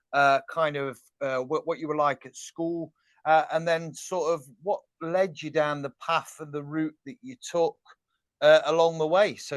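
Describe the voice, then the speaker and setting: A man's voice: on the high side, no room sound. A man hosting a podcast, chatty and self-deprecating, hesitating often with fillers, talking over a video call. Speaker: podcast host. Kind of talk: casual podcast interview.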